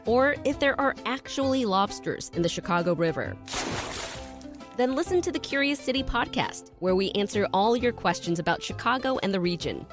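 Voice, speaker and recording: A woman's voice: a little high, animated, normal speed. A woman announcer reading a scripted promotional spot for a podcast. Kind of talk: scripted radio promo narration. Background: music.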